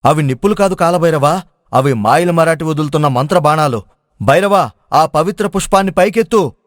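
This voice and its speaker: A man voicing a knowing, cautious village guide in an animated moral story, speaking in an urgent, explanatory manner. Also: fairly high pitch, a little fast, no room sound, clean studio sound, close to an everyday voice.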